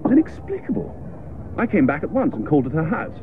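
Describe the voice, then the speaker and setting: An actor playing an earnest, lovelorn young upper-class English gentleman in a radio comedy, plaintive and politely understated. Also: fairly high pitch, huge pitch swings, fast talker. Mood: bewildered, plaintive. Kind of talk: radio comedy drama acting. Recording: muffled, noisy radio play recording.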